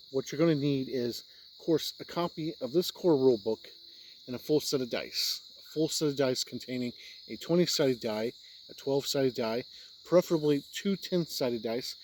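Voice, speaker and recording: A man's voice: mid-range voice, a little mellow, slight background noise. A man giving an unscripted explanatory review, talking plainly step by step and sometimes repeating himself. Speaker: tabletop game reviewer.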